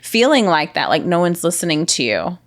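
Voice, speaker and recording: Earnest low-voiced woman. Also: big pitch swings, normal speed. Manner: earnest and impassioned, stacks repeated phrases; speaks forcefully, says she has a loud voice. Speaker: healthcare revenue cycle director, guest. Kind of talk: conversational interview answers.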